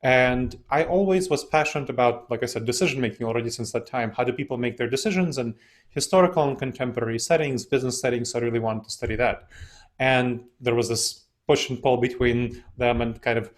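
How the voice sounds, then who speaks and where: A man speaking English as a second language, answering interview questions with relaxed personal stories. His voice is mid-pitched, and he laughs easily as he goes. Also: a little fast. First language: not English. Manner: relaxed, laughs often while telling stories. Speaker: interview guest, decision-making expert. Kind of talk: casual interview storytelling.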